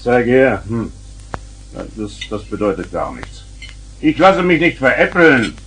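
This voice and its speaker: An actor playing a grumpy, easily exasperated father who blusters and scolds, in a husky voice, in a 1970s children's radio play. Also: medium pitch, sing-song, measured pace, a bit bright, a little hiss or hum, rough recording. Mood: grumbling.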